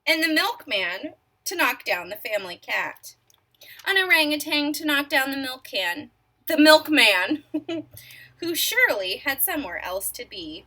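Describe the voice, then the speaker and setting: A high, bright female voice reads a children's story, swooping all over her range. She stops to quiz her young listeners and sometimes drops to a near whisper for suspense. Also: measured pace. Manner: expressive, asks young listeners questions. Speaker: storyteller reading a picture book. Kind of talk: children's read-aloud.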